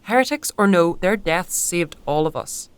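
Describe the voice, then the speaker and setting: An actress playing an earnest, burdened woman in naturalistic dark fantasy audio drama dialogue. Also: fairly low pitch, lively intonation, moderate pace, clean tone, dry recording, lightly acted. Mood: sombre, confiding.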